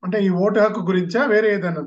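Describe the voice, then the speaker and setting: A man's voice: sits high, steady tone, racing, dull audio. A man, a formal and deferential participant making a short impromptu practice speech.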